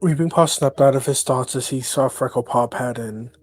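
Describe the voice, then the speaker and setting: A man's voice: medium pitch, moderate pace, no echo. Man narrating a story, dry recording. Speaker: narrator and roleplayer. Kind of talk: scripted story narration, third person. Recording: dry, music behind.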